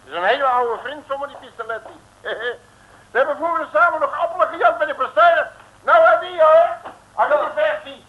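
An actor playing a brash, cheeky detective with colloquial, slangy bluster in a 1960s radio comedy. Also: male voice, very high voice, normal speed, narrow, tinny audio. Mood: gleeful. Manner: colloquial slangy bluster, calls himself uncle. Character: brash, cheeky, big-spending male detective, streetwise and jovial. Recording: noisy old 1960s radio recording.

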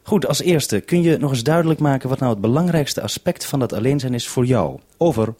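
A man with a Netherlandic Dutch accent hosting a radio programme in a measured, formal manner on a vintage 1970s broadcast. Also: medium pitch, animated, warm tone, a little hiss or hum. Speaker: radio host. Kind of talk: scripted radio introduction and two-way radio link.

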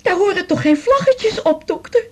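A woman's voice: very high-pitched, animated, normal speed, a little mellow, slight background noise. An actress playing a confused, depressed woman patient who rambles hesitantly and pleads, in a radio drama. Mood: agitated, gloomy. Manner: rambling, hesitant, jumping between thoughts.

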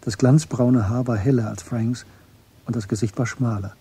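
A man's voice: mid-range voice, steady tone, normal speed, warm tone, husky. A man reading scripted literary narration as the narrator of a German radio drama.

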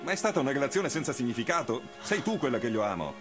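A man's voice: medium pitch, sing-song, quick pace, close to an everyday voice. A man playing a weary, defensive revolutionary on the run in a radio drama. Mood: defensive, exasperated. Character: weary fugitive revolutionary, evasive and defensive lover. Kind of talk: theatrical radio drama dialogue. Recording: radio play with music underneath. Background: music.

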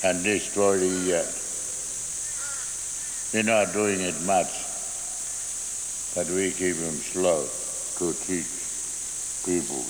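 Very deep slow older man, hissy tape. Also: fairly even intonation, in a large hall, low-quality recording. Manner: halting, plain English with short phrases. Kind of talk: slow recorded testimony.

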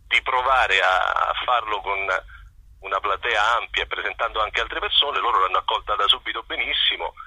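A man being interviewed as a performer, talking casually and reflectively, often pausing to search for his words. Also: on the low side, pushed and bright, husky, no echo, poor audio. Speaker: interview guest, singer-songwriter and performer.

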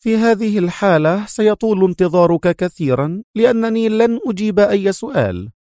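A man voicing a tough, sharp-tongued woman agent in an audiobook, speaking curtly and with mockery. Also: sits high, very animated, normal speed, dry recording, studio quality, pitched above the performer's own voice. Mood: defiant. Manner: curt, sharp, mocking. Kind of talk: audiobook character voice.

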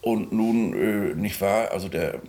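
A man explaining informally as a commentator, halting and correcting himself as he thinks aloud. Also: lowish voice, mellow. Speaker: commentator on a composer.

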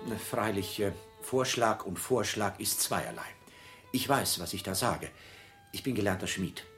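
A man's voice: lowish voice, lively intonation, measured pace, fairly bright tone, soft background music. An actor playing an earnest, fussily proper tradesman who rambles officiously and justifies himself, in an absurdist radio drama. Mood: earnest, pleading. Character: earnest, long-winded tradesman job petitioner, fussily proper.

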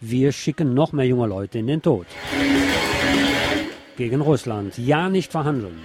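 A man's voice: medium pitch, lively intonation, measured pace, loud music behind the voice. A man reading scripted news commentary in an opinionated way. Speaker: news presenter.

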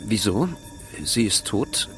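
A man's voice: on the low side, animated, slow pace, a bit bright, soft background music. A man playing an evasive, agitated village father in a radio drama, guarded and brief in his answers. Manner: guarded, answers with short questions.

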